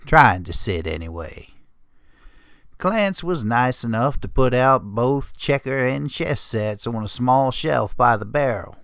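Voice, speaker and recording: A man voicing a grumpy, grizzled old retired adventurer pony, telling his story in a gravelly, folksy, curmudgeonly way. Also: medium pitch, fairly bright tone, dry recording, clean studio sound. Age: older. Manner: folksy, curmudgeonly drawl with clipped phrases. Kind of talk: first-person storybook narration.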